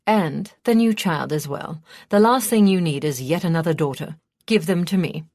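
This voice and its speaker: A woman's voice: fairly low pitch, moderate pace, darkish tone, no room sound, clean studio sound. A woman voicing a stern, icy lady of authority in an audiobook, blunt and imperious. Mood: firm, insistent.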